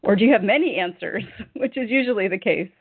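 A woman hosts an interview, asking her questions eagerly and laughing easily. Her voice is mid-pitched and dry, with a thin, phone-like sound. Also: expressive, husky, dry recording. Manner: warm, eager, quick laughs. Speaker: podcast host. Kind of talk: interview hosting.

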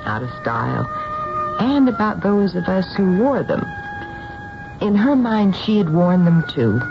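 Small-town housewife, radio drama narrator, reflective, confiding, music behind, dull old broadcast. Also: female voice, deep voice. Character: ordinary small-town housewife fondly telling a strange story.

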